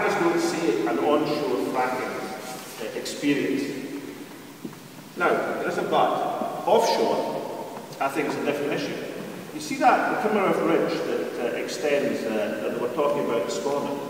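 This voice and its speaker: A man giving a public talk to an audience, skeptical and dryly humorous. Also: medium pitch. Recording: echoey hall from far off, faint hiss.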